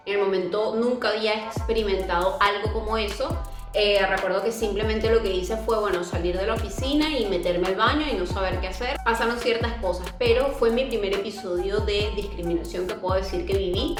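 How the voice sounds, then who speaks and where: A young woman with a Venezuelan accent talks earnestly to her vlog audience, often pausing with fillers and restarting her sentences. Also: mid-range voice. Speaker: YouTuber. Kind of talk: casual vlog talk to camera. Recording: echoey room with music behind.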